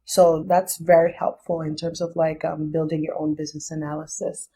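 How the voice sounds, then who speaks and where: A woman's voice: deep voice, flat delivery, normal speed, mellow, slight background noise. A young adult woman and business founder, a podcast guest, explaining things step by step in a thoughtful, casual way. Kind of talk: casual interview.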